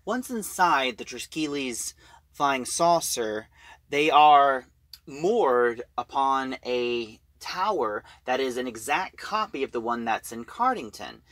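A man giving an informal story recap as a reviewer, talking in a halting, conversational way. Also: medium pitch, animated, a bit bright, no echo. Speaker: audio drama reviewer.

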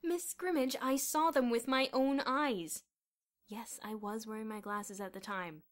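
A woman's voice: medium pitch, flat delivery, normal speed, fairly bright tone, lightly acted. A woman voicing a stern, middle-aged male headmaster, indignant and emphatic, in a storybook narration. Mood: outraged.

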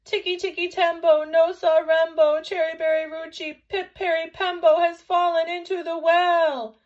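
A frantic little boy, voiced by a woman storyteller, pitched high and shouting urgently. He pants out his storybook lines, anxious and short of breath. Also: normal speed, lo-fi sound, natural voice. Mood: loud, frantic.

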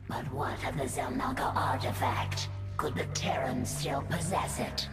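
A woman's voice: extremely low pitch, echoey room, over loud music. An actress voicing a proud, fierce alien insectoid brood mother in a video game, solemn and menacing, yet servile to her queen. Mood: grave, warning. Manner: slow, solemn, menacing delivery. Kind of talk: video game cutscene acting.

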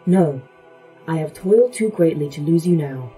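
A woman's voice: low voice, expressive, moderate pace, dark tone, soft background music, poor audio. A woman playing a brooding, godlike artificial intelligence who speaks in grand, theatrical phrases in an audio drama. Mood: grieving. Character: brooding godlike A.I. ruling tiny ant subjects.

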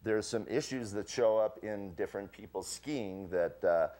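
A man's voice: on the low side, mellow. Folksy older man explaining ski boots. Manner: folksy, jokey, unhurried explainer. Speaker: ski boot fitter guest. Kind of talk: explaining with props on a TV morning show. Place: studio kitchen set.

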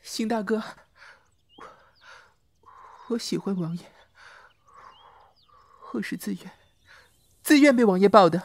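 A performer playing a meek, devoted young male servant in a Chinese costume audio drama, soft, timid and pleading. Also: medium pitch, lively intonation, slow pace, vocal fry, long pauses, no room sound. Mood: tearful, pleading.

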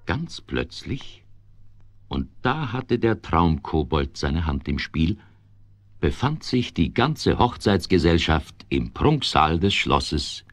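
A man playing an unhurried fairy-tale storyteller, narrating a children's radio play. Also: low voice, big pitch swings, no echo, heavily acted. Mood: storytelling.

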